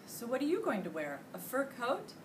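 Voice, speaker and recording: An American woman voicing a friendly, joking male night janitor in an animated, teasing way. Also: fairly high pitch, moderate pace, pitched above the performer's own voice. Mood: playful. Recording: distant mic, loud room noise.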